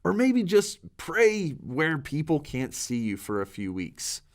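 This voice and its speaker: Man acting a proud, slow-spoken Persian king. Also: a little high, very animated, voice pushed up, exaggerated voice. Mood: pleading. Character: proud, regretful Persian king, commanding and easily flattered.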